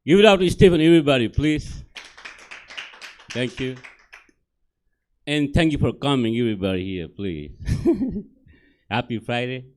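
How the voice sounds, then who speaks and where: A middle-aged man from Nepal with a Nepali accent works a small theater crowd in plain, broken English. His pitch sits mid-range, he keeps checking in with the room, and he speaks into a stage mic. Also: very animated, a little slow, pauses often. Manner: simple broken English, chatty, keeps asking the crowd "right?". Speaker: stand-up comedian. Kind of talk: stand-up comedy set.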